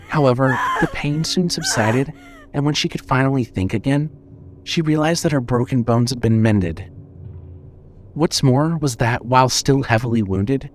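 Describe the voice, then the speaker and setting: A male narrator reads scripted horror fiction in a dramatic style. His voice sits at a medium pitch. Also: a bit bright.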